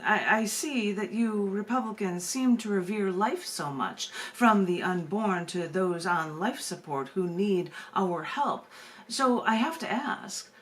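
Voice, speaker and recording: An American woman speaking as a poet and open mic host, emphatic and conversational, often repeating words haltingly. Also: medium pitch, a little hiss or hum. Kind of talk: spoken-word poetry reading and open mic hosting.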